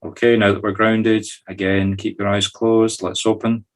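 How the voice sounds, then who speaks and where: A man's voice: lowish voice, level tone, normal speed. A Scottish man leading a guided meditation, talking listeners through each step in an informal, conversational way. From Scotland. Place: recorded in a group video call.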